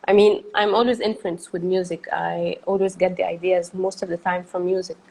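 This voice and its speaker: A woman from Egypt, speaking softly and hesitantly as a guest artist on a video call, with many fillers and easy laughter. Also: fairly low pitch, level tone, moderate pace, vocal fry, poor audio. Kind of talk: casual video-call interview.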